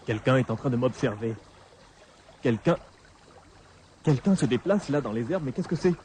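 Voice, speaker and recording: A man's voice: medium pitch, animated, normal speed, a little mellow, natural voice. An actor playing a frightened man hunted by an undead creature in a radio drama, anxious, stammering and repeating himself. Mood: uneasy, alert. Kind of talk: radio horror drama acting. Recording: clearly noisy radio drama track.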